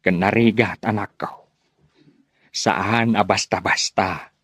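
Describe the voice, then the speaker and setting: Weary village father for radio drama, male actor: low, unhurried, sighing, resigned, recorded dry. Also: steady tone, fairly bright tone, husky, no room sound, full character voice. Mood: gentle, resigned. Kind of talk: radio drama acting in Ilocano.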